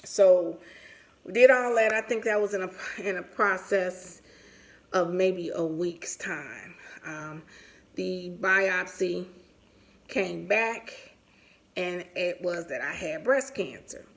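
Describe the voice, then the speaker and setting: A woman's voice: fairly low pitch, takes it slowly. A woman in her forties sharing a personal story in a candid, casual, conversational way, as in a vlog. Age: 40s. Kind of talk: casual personal storytelling while getting ready.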